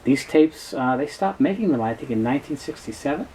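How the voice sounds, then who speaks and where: A man in his fifties giving an unscripted explainer in a halting, matter-of-fact way. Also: medium pitch, lively intonation, mellow, poor audio. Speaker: presenter, tape specialist. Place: cluttered indoor workroom with shelves. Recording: clear background noise, room ambience.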